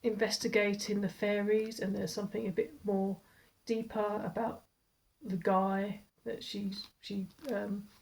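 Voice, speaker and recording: A woman's voice: medium pitch, level tone, measured pace, gravelly, a little mellow, low-quality recording. Chatty woman with a stuffy cold. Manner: rambling, chatty, often restarts words and laughs. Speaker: book reviewer. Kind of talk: casual chat to camera.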